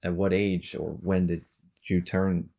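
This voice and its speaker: A man with a very deep voice speaks as a podcast co-host. He talks casually and anecdotally, acting out bits of quoted exchanges and swearing offhandedly. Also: level tone, unhurried, vocal fry, dark tone. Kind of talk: casual conversation.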